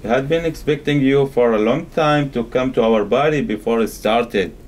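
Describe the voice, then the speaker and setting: A man's voice: mid-range voice, normal speed, a little mellow, close to an everyday voice. A man voicing an easygoing male friend in an amateur puppet skit, with careful, learner-like scripted English. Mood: questioning. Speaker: amateur puppet show performer.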